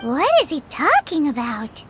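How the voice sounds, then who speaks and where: A woman's voice: high voice, big pitch swings, measured pace, faint music behind. A woman voicing a tiny, perky, blunt fairy companion with a childish manner in a fantasy game. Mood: puzzled. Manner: perky, childish, refers to self in third person. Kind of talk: cartoonish fantasy game voice acting.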